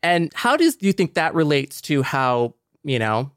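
A man's voice: a little high, sing-song, moderate pace, fairly bright tone, dry recording. Male podcast co-host, conversational delivery, recorded dry and close.

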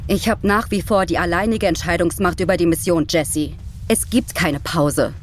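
A woman's voice: on the low side, fairly even intonation, normal speed, slight background noise, close to an everyday voice. A woman playing a headstrong, dryly unyielding Mars mission commander in an audio drama. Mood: curt, firm.

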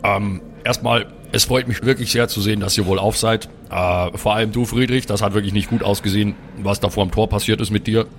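Guy as earnest polite cleric, lowish voice, hesitant and soothing, slight background noise. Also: fairly even intonation, brisk, hardly any pauses, natural voice. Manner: hesitant, conciliatory, explaining.

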